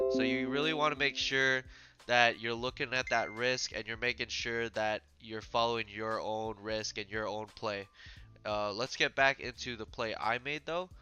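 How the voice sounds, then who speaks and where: Male host, stuffy from a cold, bright crisp tone, loose tutorial delivery, lo-fi mic. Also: medium pitch. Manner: casual, filler-heavy explaining with frequent "uh". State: stuffy, a little sick. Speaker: trading channel host. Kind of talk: screen-share trading tutorial.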